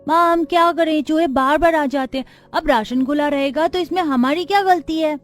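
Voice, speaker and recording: Cartoon village child, eager and a little whiny, high voice, done by a woman. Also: normal speed, soft background music, close to an everyday voice. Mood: defensive. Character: plaintive, eager young child of a poor village family.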